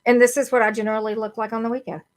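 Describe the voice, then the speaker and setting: A woman with a Southern US accent, co-hosting a casual podcast chat and talking in a relaxed, rambling way. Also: a little high, very fast. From American South. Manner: relaxed and chatty, slips into a formal Southern drawl for fun. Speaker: podcast co-host. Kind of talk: casual live-stream chat.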